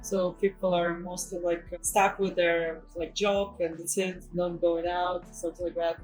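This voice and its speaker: A woman's voice: on the low side, little pitch movement, a little slow, darkish tone, low-quality recording. A woman with a Russian accent talks casually as a guest investor being interviewed. Her English is non-native, with loose grammar. Music plays behind her voice. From Russia, Saint Petersburg. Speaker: guest, property investor and conference speaker.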